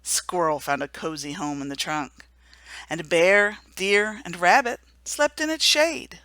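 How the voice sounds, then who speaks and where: A woman reading a children's storybook aloud for a remembrance service, gentle and hushed at the sad moments. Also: lowish voice, measured pace, no echo. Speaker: story reader.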